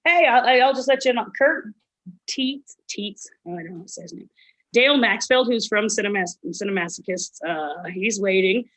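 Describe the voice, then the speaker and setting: A hoarse woman hosting an online event over a video call, chatty and full of fillers. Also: mid-range voice, expressive, moderate pace, fairly bright tone, rough recording. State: hoarse, lost voice. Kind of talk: casual chat.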